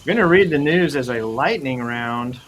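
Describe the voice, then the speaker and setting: A man's voice: mid-range voice, expressive, normal speed, fairly bright tone. A man hosting a casual, jokey live show in a playful, theatrical, rambling way. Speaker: host. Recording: noisy, with nature sounds behind. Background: forest and nature ambience.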